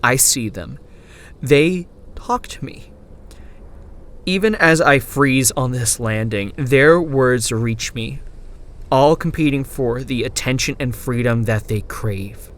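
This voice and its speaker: A man playing a haunted, dying survivor who gravely narrates his journal in a horror audio drama, deliberate and intense. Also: mid-range voice, fairly bright tone. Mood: grim, resigned.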